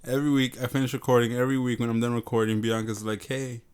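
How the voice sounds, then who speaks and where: A man's voice: medium pitch, little pitch movement, fast talker, darkish tone, clear, resonant tone. A young man co-hosting a podcast in casual, joking chat, swearing freely. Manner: joking, loose, swears often. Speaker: podcast co-host.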